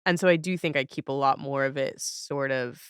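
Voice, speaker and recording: Smooth-voiced woman hosting a casual interview. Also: very deep voice, a little fast, no room sound, clean studio sound. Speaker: podcast host.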